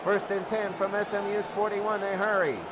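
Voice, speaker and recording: A man calling American football play-by-play in short, repeated, excitable phrases. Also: high-pitched, normal speed. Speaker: play-by-play announcer. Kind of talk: excitable American football radio-style commentary. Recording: loud crowd roar, heavy background noise.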